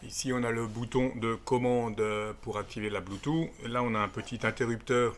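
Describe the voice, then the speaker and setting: A man's voice: medium pitch, fairly even intonation, moderate pace, slight background noise. A man presenting a gadget in a casual, hands-on way, explaining it step by step. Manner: explanatory, step by step, with frequent tag words. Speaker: amateur radio video presenter. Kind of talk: casual hands-on product demonstration.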